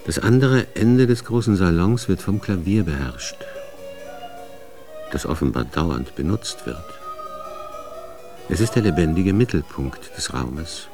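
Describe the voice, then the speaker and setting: A man acting as the narrator of a radio drama, setting historical scenes in a measured, factual manner. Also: low-pitched, expressive, over music. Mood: factual, descriptive.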